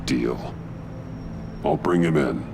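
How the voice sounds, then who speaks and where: A man's voice: very deep voice, slow, creaky, noisy background, full character voice. A man playing a stern, brooding masked vigilante in a video game, terse and commanding. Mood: firm, grave.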